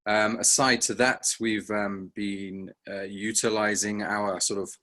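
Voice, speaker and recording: A man speaks in a fairly low voice with little movement in pitch. He is understated and halting as he talks casually on a panel as a safari operator. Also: flat delivery, pushed and bright. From England. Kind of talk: casual unscripted panel talk.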